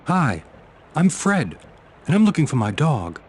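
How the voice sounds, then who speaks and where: A man's voice: fairly high pitch, very animated, measured pace, darkish tone. A man playing a disguised agent bluffing casually in a video game, with noisy audio.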